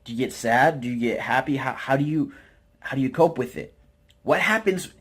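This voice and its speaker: A man's voice: medium pitch, animated, normal speed, slightly rough. Hesitant, candid American man vlogging casually. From Utah, United States. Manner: hesitant, self-deprecating, rambling with informal slang. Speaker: vlogger, network marketer. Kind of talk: casual, candid talk to camera.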